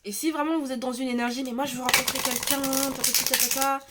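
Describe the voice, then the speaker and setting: French woman doing a tarot reading, kinda high voice, lots of euh and restarts, bit stuffy. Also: steady tone, quick pace, heavy background noise. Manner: chatty, hesitant, many restarts and fillers. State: slightly stuffy nose. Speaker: tarot reader. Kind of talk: casual tarot reading video.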